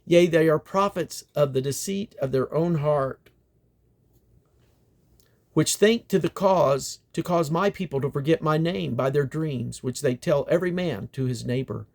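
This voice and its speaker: Male scripture reader, fairly high-pitched, solemn and declamatory, archaic Bible text read with emphasis. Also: unhurried, gravelly. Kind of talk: solemn scripture reading from the King James Bible.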